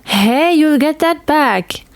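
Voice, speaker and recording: A woman's voice: high voice, expressive, slow pace, no echo, voice pushed up, exaggerated voice. A woman voicing a cautious, easily flustered young boy who sounds worried and exasperated in an audio story. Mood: playful protest. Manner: anxious, pleading, exasperated. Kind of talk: children's fantasy audio story dialogue.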